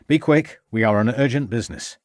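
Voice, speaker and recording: A man reading the part of a Victorian police inspector in a storybook, brisk, formal and commanding. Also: medium pitch, no echo, studio quality, close to an everyday voice. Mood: brisk, impatient.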